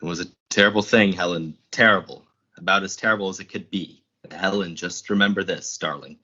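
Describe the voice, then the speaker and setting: A young man playing a troubled, secretive lover in a 1940s-style suspense radio drama, urgent and halting. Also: deep voice, steady tone, pushed and bright. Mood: reassuring, strained. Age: young adult. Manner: urgent, halting, repeating words. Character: troubled, secretive male lover, tender but cornered. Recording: dull lo-fi audio.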